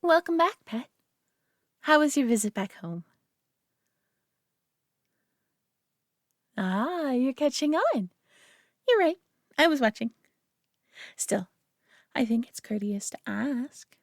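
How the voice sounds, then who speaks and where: A woman's voice: high voice, big pitch swings, unhurried, long pauses, no room sound, studio quality, heavily acted. A woman voicing a prideful, doting alien who owns a human pet, teasing and condescending yet affectionate in an intimate audio roleplay. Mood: smug, pleased. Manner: teasing, condescending, affectionate, often hushed and breathy.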